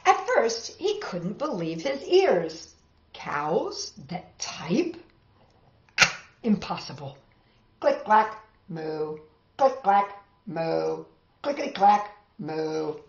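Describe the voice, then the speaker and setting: A middle-aged woman hosting a children's storytime, reading a picture book theatrically and deliberately, with chatty asides to young listeners. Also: on the low side, very animated. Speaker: storytime host. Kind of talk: animated children's storybook read-aloud. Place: small living room indoors. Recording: dull, roomy indoor webcam sound.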